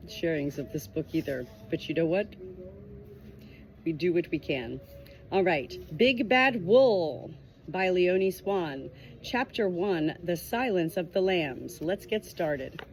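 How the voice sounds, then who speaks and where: A woman reading a storybook aloud in an expressive amateur style, with casual asides, recorded on a phone at home. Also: deep voice, slight background noise, rough recording. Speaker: amateur book reader, channel host.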